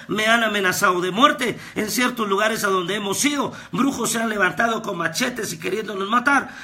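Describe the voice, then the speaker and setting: A man, preaching a fervent devotional sermon as a pastor and prophet, with repetitions that rise to shouts. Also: extremely high pitch. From Guatemala. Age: 30s.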